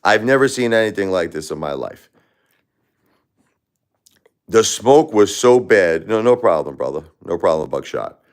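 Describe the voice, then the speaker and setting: Deep-voiced New man, rambling livestream talk. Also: expressive, measured pace, pauses often. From Brooklyn, New York. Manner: rambling, repeats words and restarts, addresses chatters by name. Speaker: livestream host. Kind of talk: casual livestream chat with viewers.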